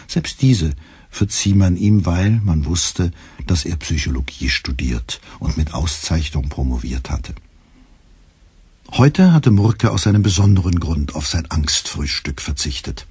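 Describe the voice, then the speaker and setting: An actor narrating a literary satire in a measured way for a radio play. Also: male voice, low voice, warm tone, breathy edge, no echo, exaggerated voice.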